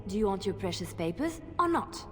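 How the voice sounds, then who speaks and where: A woman's voice: medium pitch, big pitch swings, a little fast, a bit bright. An actress playing a young woman rogue who has been wronged and wants revenge, in a video game. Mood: resolute, guarded. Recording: long hall reverb, music behind.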